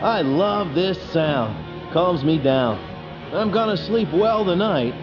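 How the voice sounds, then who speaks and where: A man's voice: sits high, lively intonation, slow. A man playing a cocky, sarcastic, slangy fighter pilot in a video game. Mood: laid-back. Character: cocky, wisecracking male fighter pilot, irreverent. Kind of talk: game cutscene voice acting. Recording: dull sound under clear music.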